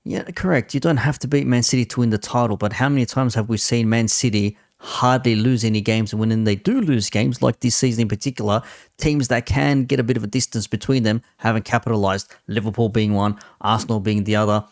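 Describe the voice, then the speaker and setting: A man's voice: on the low side, steady tone, fairly quick, dry recording, studio quality. A man giving casual, opinionated commentary as a host, blunt and sweary in a conversational way. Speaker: host reacting to a football debate stream.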